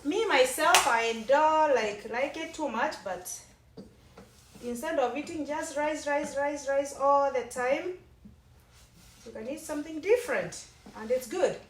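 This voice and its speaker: A woman's voice: high voice, a little slow. Kenyan woman chatting in her kitchen. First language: Kenyan mother tongue. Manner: chatty, rambling, with frequent restarts. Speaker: cooking vlogger. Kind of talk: casual cooking demonstration. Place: home kitchen. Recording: echoey room, mic at a distance.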